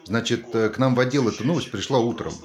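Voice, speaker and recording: An actor playing an agitated TV newsman and husband in a naturalistic stage drama, sarcastic, insistent and halting in his speech. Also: medium pitch, crowd noise, lightly acted. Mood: subdued, grave. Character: agitated TV newsman, father and husband, scornful and defensive.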